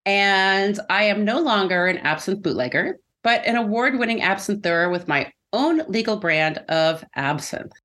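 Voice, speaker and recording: A woman's voice: mid-range voice, animated, normal speed, few pauses, fairly bright tone. A middle-aged woman co-hosting a podcast in a casual, conversational way. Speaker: podcast co-host.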